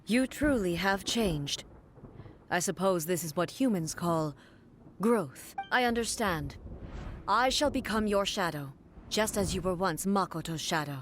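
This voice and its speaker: A woman voicing a stern, dutiful but softening warrior puppet in a video game, formal, measured and reserved. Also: lowish voice, animated, a little hiss or hum. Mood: resolute, formal.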